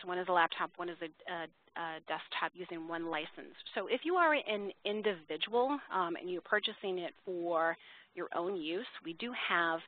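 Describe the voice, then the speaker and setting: A woman's voice: fairly low pitch, no room sound. A woman presenting a webinar in an informal, explanatory way, thinking aloud as she takes questions. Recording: tinny, dry phone-line audio.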